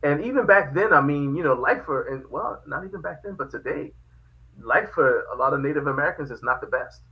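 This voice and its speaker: A man from Liberia, a podcast guest telling his family history in a lively, casual way, often laughing. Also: a little high, fairly quick, dull audio. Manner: animated, laughs often, quotes dialogue. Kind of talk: casual storytelling.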